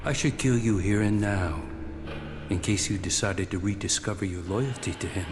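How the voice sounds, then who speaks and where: Low-voiced, wary rebel soldier, acted by a man. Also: natural voice. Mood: cold, distrustful. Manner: measured, formal, distrustful. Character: wary rebel soldiers of a cold, proud army. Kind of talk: video game cutscene acting. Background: music.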